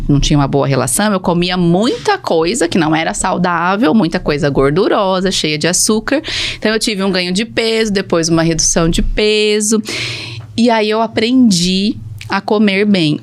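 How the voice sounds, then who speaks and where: A woman with a Brazilian accent, a speech therapist speaking as a podcast guest in casual, personal storytelling. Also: fairly low pitch.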